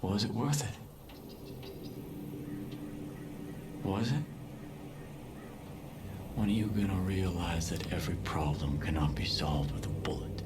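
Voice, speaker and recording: An actor playing a fanatical cult leader in a video game, preaching with solemn, deliberate menace in a breathy, often hushed voice. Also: male voice, fairly low pitch, roomy sound, noisy background. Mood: stern, pleading. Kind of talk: dramatic sermon-like game acting.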